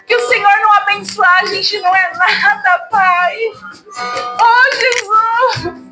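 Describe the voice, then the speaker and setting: A woman's voice: very high-pitched, fairly even intonation, slow pace, poor audio. A woman leading an emotional spoken prayer, tearful and pleading, her voice breaking as she asks for forgiveness. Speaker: prayer leader. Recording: guitar music behind. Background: soft guitar music.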